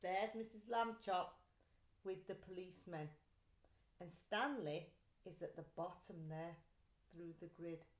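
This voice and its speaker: A woman reading a children's story aloud like a teacher, with deliberate, clear phrasing and expression. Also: fairly low pitch. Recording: echoey room.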